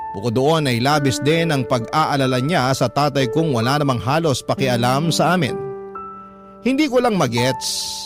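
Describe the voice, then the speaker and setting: A man with a Filipino accent hosting a radio show, reading a listener's letter as scripted narration. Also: on the high side. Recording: music playing underneath.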